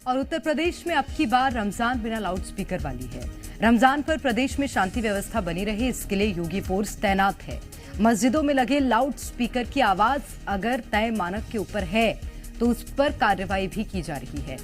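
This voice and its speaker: A woman's voice: medium pitch, lively intonation, moderate pace, soft background music. A woman reading scripted news as an anchor.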